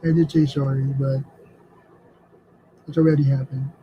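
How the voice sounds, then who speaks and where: A middle-aged man chatting casually at home, halting and hesitant, with many fillers and repeated words. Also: mid-range voice, little pitch movement, dark tone. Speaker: artist and vlogger. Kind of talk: casual reflective chat while drawing. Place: home studio room. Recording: slightly dull lo-fi sound with faint background noise.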